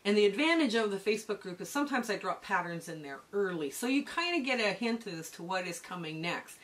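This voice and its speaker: A middle-aged woman hosting a quilting lesson, chatting warmly while she sews, her voice starting to go hoarse. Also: medium pitch, lively intonation, fairly quick. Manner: chatty, homey, talks while sewing. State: voice going hoarse. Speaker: quilting channel host. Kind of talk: casual sewing tutorial.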